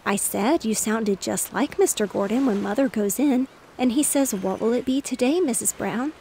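A woman's voice: fairly high pitch, normal speed, slight background noise, natural voice. Woman whispering as an eager little girl. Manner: soft whispery delivery.

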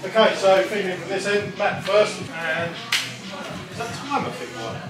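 A man's voice: high-pitched, steady tone. A British man hosting a gym vlog, joking and laughing as he plans the training session. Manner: jokey, laughs often, quick asides. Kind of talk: casual gym chat and instructions. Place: large echoey gym, indoors. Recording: echoey gym with music playing.

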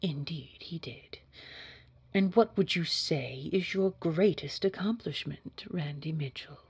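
Scary girl boss for an audio drama job interview played by a woman: deep for a woman, whispery husk, formal, quietly probing. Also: full character voice. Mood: probing, intrigued. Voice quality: breathy, husky, whispery. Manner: measured, formal, insinuating. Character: smooth, secretive, scary corporate woman boss interviewing a candidate. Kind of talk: scripted audio drama dialogue.

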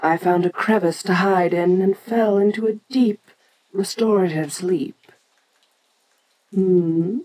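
An ancient giant monster woman, played by a voice actress for an audio roleplay. She is imperious and curious, speaking very slowly and deliberately at a middling female pitch, as if to a lesser creature. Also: darkish tone, low-quality recording. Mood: proud, grave, then brooding.

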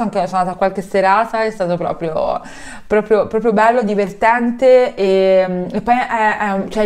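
A woman's voice: medium pitch, a little mellow. A young woman talking casually to camera from her living room, chatty, with many fillers and self-corrections. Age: young adult. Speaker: vlogger. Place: bright living room at home.

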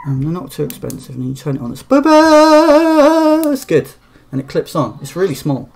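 A man's voice: high-pitched, huge pitch swings, normal speed, gravelly, slight background noise. A middle-aged man streaming from a dim small room, chatting casually and rambling, his voice a bit strained after singing. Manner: rambling, restarts, chatty, plays acoustic guitar while talking. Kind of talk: casual chat. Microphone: webcam framing at desk.

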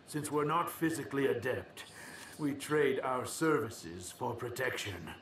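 A man's voice: medium pitch, fairly bright tone, natural voice. Grumpy alien ambassador played by a man, roomy sound. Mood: resentful, explaining. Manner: curt, pompous, halting with pauses. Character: short, grumpy male alien ambassador in breathing suit. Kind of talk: video game character dialogue. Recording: roomy, distant, noisy game audio.

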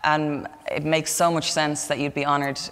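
A woman's voice: low voice, little pitch movement, normal speed, fairly bright tone. A young woman with an Irish accent conducts a friendly interview. She asks questions conversationally, and her voice rings in the long reverb of a large hall. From Kildare, Ireland. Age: young adult. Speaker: interviewer, musician. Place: large historic hall indoors.

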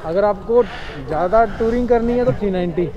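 A man's voice: high-pitched, normal speed. A man at an outdoor event explaining motorcycle features in a matter-of-fact, casual way. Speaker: rider explaining bike specs. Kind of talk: casual conversation. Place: outdoors under an event tent. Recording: dull outdoor recording with a crowd behind.

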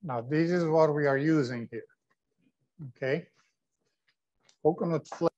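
A man's voice: a little high, unhurried, darkish tone. Older man co-hosting, muffled sound. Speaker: co-host of cooking class. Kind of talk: casual explaining to viewers. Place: indoor kitchen. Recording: dull, muffled lo-fi live video sound.

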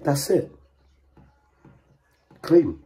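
A man's voice: drawn-out words, mellow. A middle-aged man chatting casually as he cooks in his home kitchen, thinking aloud and joking. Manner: chatty, thinks aloud, jokes and asks himself questions. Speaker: vlogger cooking at home. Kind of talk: casual chatty cooking narration. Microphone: camera over his shoulder, moving around the counter. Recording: faint background noise, frying sounds.

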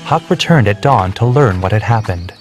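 A man reading a children's storybook, clear and measured. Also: on the low side, expressive. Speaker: narrator. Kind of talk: scripted storybook narration. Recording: soft background music.

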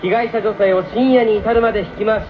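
An actor playing a formal, officious prosecutor reading out charges in a radio drama. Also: male voice, high voice, fairly even intonation, fast, lightly acted. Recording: muffled, noisy AM radio recording.

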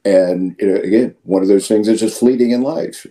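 Older man, priest, deep voice, very warm dark tone, halting conversational delivery, video call. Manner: halting, with repeated words and emphatic asides. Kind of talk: conversational interview answer. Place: indoor room, video call.

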